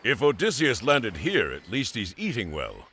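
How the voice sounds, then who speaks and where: An actor playing an observant soldier companion in a video game cutscene, with a dry, wry manner. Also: male voice, mid-range voice, huge pitch swings, moderate pace, a bit bright, slight background noise.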